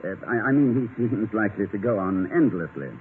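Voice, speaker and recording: An actor playing an erudite, flustered professor husband in 1950s radio comedy, wordy and stammering with exasperated politeness. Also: male voice, lowish voice, expressive, brisk, faint music behind, lightly acted. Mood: polite, apologetic. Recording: tinny, noisy 1950 radio recording.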